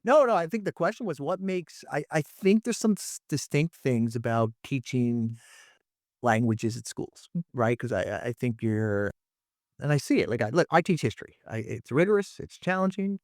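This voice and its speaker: A man's voice: medium pitch, normal speed, no echo, studio quality. A man hosting a podcast interview in an informal, discursive, conversational way, often checking in as he goes. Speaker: podcast host, history teacher.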